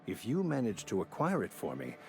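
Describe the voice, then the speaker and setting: An actor playing a genteel, bookish man in a video game, speaking in a formal, measured and wordy way. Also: lowish voice, wildly swooping pitch, vocal fry, darkish tone, heavily acted. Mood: courteous, wry. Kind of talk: video game character dialogue. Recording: music behind the voice.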